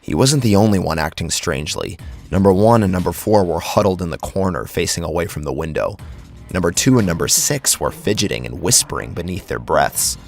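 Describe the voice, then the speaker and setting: A man's voice: deep voice, expressive, brisk, vocal fry. A man narrating a scripted first-person horror story dramatically, close on the microphone. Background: background music.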